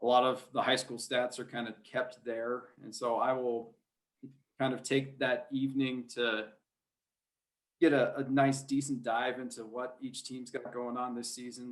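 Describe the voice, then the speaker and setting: Man, mid-pitched voice, easygoing, chatty, casual interview storytelling. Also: flat delivery, moderate pace. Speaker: podcast guest, high school sports play-by-play announcer.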